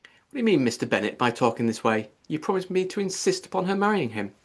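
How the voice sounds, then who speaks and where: Audiobook mother, played by a man: middle-aged, fretful, self-pitying, raised pitch, agitated and melodramatic. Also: very animated, quick pace. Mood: indignant.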